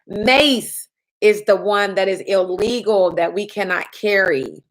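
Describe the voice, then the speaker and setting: A woman insurance agent and trainer answering viewer questions in a chatty live stream, her voice a little raspy from little sleep. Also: medium pitch, slow pace, low-quality recording. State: slightly raspy from lack of sleep.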